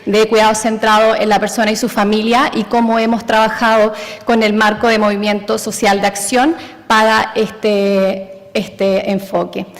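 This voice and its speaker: A woman's voice: medium pitch, little pitch movement, moderate pace, in a large hall. A Chilean woman giving a conference presentation, with many hesitant fillers. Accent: Chilean Spanish. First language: Spanish. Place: large conference hall.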